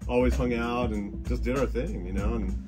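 Laid-back podcast guest. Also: male voice, medium pitch, normal speed, over music. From Southern California. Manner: easygoing, laid-back, swears casually. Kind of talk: casual interview chat.

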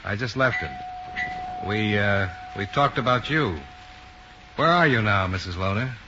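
A cool freelance investigator from a 1950s radio drama. His voice is lowish and forceful, with short, probing questions. The old recording is dull and a little noisy. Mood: calm, reassuring. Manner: brisk, clipped questioning. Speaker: lead actor in a radio drama.

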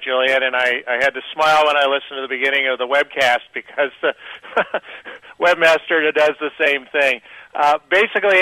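Bright-toned man answering with fillers. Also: on the high side, no room sound, rough recording. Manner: measured with many fillers. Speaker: guest portfolio manager. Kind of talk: radio interview answers.

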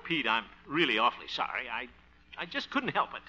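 A man's voice: mid-range voice, sing-song, fairly quick, bright, forceful tone. Flustered man, wildly swooping voice. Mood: regretful. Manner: flustered, stammering, chuckling. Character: blustery, apologetic, easily flustered bachelor. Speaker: lead actor of a radio comedy series. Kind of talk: 1950s radio sitcom acting. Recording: muffled old radio broadcast.

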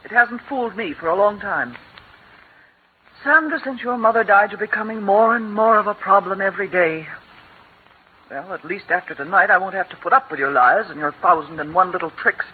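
An actress playing a stern, curt housekeeper scolding a child in a 1940s radio drama. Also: female voice, mid-range voice, fairly quick, breathy edge. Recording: tinny old radio broadcast.

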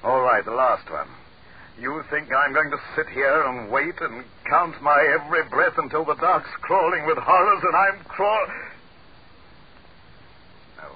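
A man's voice: deep voice, animated, takes it slowly, long pauses, dull audio, heavily acted. A man in a 1940s radio horror drama playing an arrogant, death-obsessed dictator, grandiose and theatrical. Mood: frantic, hysterical. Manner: grandiose, theatrical, swinging from weary to frantic. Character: arrogant tyrant dictator, panicking and death-obsessed, trapped undersea. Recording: hissy band-limited 1940s radio recording.

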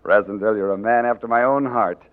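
An actor playing a sly, scheming courtier villain in a 1940s radio drama, smooth and mocking in manner. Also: male voice, on the low side, brisk, close to an everyday voice. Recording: tinny 1940s radio broadcast.

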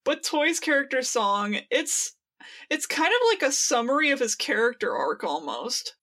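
A woman's voice: sits high, very animated, normal speed, fairly bright tone. A woman co-hosting a podcast in casual fan discussion, with a wry, playfully exaggerated way of talking. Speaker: podcast co-host.

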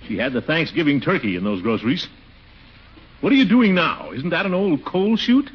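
An actor playing a pompous, chuckling middle-aged uncle in a 1940s radio comedy. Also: male voice, on the high side, big pitch swings, normal speed, slightly rough. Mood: indignant, scolding. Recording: dull, noisy 1940s radio recording.

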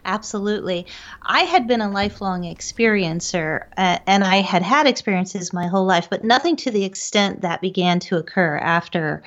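A woman's voice: mid-range voice, lively intonation, moderate pace, muffled recording. A woman speaking as an interview guest, recounting her own paranormal experiences.

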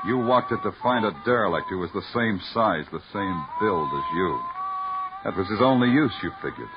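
An American man playing a hard-boiled, weary police detective in a 1950s radio crime drama, terse and deliberate, with poetic narration. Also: lowish voice, expressive, very noisy. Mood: grim accusation. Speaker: lead actor and first-person narrator. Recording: dull old radio recording, loud music.

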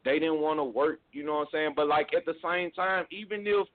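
A man's voice: a little high, fairly quick, slight background noise. A man, a music label guest, talking casually and often saying "you know what I'm saying". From Mississippi. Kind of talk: casual phone interview. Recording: tinny phone line.

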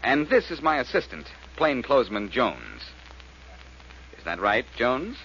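An actor playing a brash, quick-witted male amateur sleuth in a 1940s American radio detective drama, cocky and commanding. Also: mid-range voice, huge pitch swings, a bit bright, heavily acted. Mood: bossy, mocking. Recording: muffled, noisy old radio recording.

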